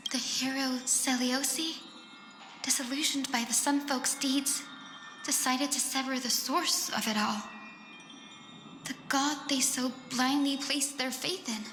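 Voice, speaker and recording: An actress playing a gentle, thoughtful young woman companion in a fantasy game. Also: high-pitched, fairly even intonation, a little slow. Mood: solemn. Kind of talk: fantasy game acting, story narration. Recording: echoing hall, faint music.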